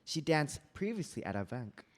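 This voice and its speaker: A man's voice: fairly high pitch, sing-song, moderate pace. A man reading the part of a deferential royal advisor in a stage play table read. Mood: respectful. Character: deferential male royal advisor at Khmer court.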